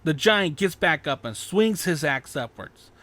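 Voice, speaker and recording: A man's voice: a little high, lively intonation, a little slow, fairly bright tone. A man narrating scripted fan fiction as an audiobook.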